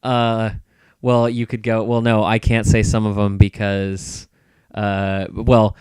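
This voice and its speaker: A man's voice: fairly low pitch, flat delivery, slow pace, a little mellow, clear, resonant tone, no echo, clean studio sound. A man co-hosting a podcast, chatting casually about films in a sarcastic, nerdy way. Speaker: podcast co-host.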